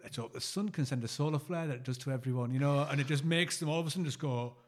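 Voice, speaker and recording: A man's voice: on the high side, quick pace. A man co-hosting a podcast in casual chat, with an informal, teasing manner. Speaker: podcast co-host.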